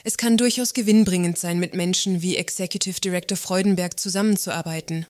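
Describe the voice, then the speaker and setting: A woman playing a self-assured, provocative works council member, speaking deliberately and assertively. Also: lowish voice, steady tone, darkish tone, no echo, clean studio sound, close to an everyday voice. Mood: confident, pointed. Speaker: actor in satirical radio play. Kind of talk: German radio play acting.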